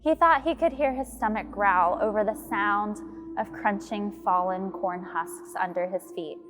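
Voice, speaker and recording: A woman's voice: mid-range voice, unhurried. Woman narrating horror over faint music. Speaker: story narrator. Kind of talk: scripted horror story narration.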